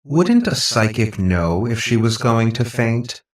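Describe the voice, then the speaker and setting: A man's voice: mid-range voice, big pitch swings, slow pace, reverberant, distant mic, voice pushed up. Man voicing a coolly calculating robot girl. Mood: dry teasing. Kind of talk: audiobook character dialogue.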